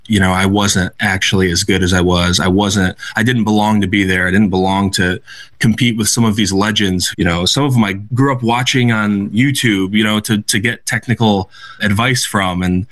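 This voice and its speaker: A man's voice: lowish voice, fairly bright tone. A young American man, a guest athlete, answering interview questions in an earnest, reflective way, often restarting his sentences. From United States. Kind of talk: radio interview.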